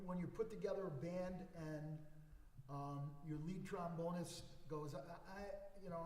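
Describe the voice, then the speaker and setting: A man on an alumni panel who speaks earnestly, with a loud voice and frequent repeated words. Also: high voice. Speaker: alumni panelist, former bandleader. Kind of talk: panel discussion. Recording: echoey hall.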